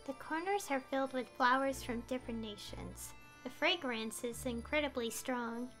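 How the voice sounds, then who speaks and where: A woman's voice: high-pitched, moderate pace, fairly bright tone. A woman streaming a video game, reading text aloud and musing over it in a thoughtful, hesitant way. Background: game music.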